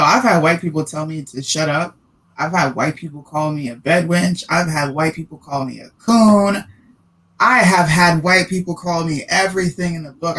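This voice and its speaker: Man, podcaster, emphatic, argumentative, high bright voice. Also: low-quality recording. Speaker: podcaster guest. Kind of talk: casual discussion.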